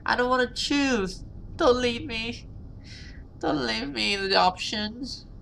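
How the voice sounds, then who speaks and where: A man giving casual let's play commentary and reading game text aloud, halting and repeating himself, given to exclaiming. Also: very high-pitched, vocal fry, pushed and bright, slight background noise. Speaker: gaming video host.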